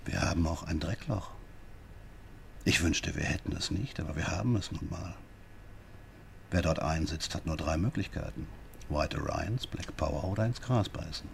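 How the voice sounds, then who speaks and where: An actor playing a sly, patronising police inspector who questions a prisoner with drawn-out, needling questions in a radio drama. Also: male voice, rumbling low, gravelly, a little hiss or hum, heavily acted. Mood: coaxing, menacing.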